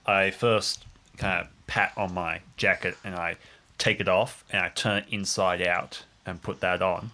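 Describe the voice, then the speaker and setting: A man's voice: low-pitched, steady tone, unhurried, pushed and bright. An earnest, talkative man chatting as a player in an improvised tabletop role-playing game, a little fussy. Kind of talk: casual tabletop role-play chat. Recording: faint background noise and ambience.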